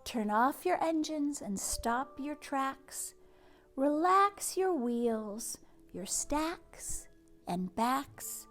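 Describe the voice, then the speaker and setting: Slow-paced woman reading to young children. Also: high-pitched, faint music behind. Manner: expressive, gentle storytelling with hushes and whispered line endings. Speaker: read-aloud host for young children. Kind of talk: children's storybook read-aloud and chat to families.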